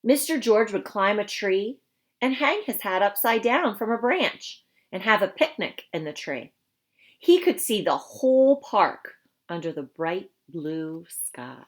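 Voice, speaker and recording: Female storybook reader, mid-pitched and highly animated, teacherly and expressive, speaking plainly for young children. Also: a little slow. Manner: expressive, teacherly, explains the pictures simply. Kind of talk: children's read-aloud with explanatory asides.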